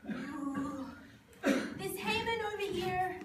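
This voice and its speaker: Woman acting puppet-show queen, extremely high, sweet, sing-song, distant echoey recording. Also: animated, takes it slowly, exaggerated voice. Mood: pleading, accusing. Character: sweet, polite young queen pleading for her people. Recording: distant, echoey, noisy live room.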